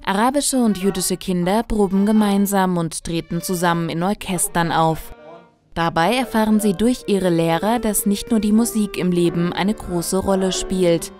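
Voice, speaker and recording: A woman reporter reading a scripted news voice-over in a measured, even way. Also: mid-range voice, soft background music.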